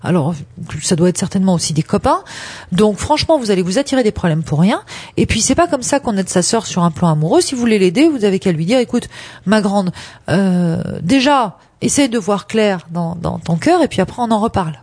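A frank, emphatic woman with a dark, husky, mid-range voice. She gives conversational advice on the radio, addressing listeners directly in a dry studio sound. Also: medium pitch, animated, fairly quick, dry recording. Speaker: relationship advice expert on radio.

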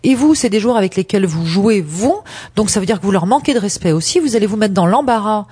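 A woman's voice: medium pitch, animated, fast, dark tone, slightly rough, no echo. A woman giving frank, emphatic relationship advice to radio listeners in a conversational way.

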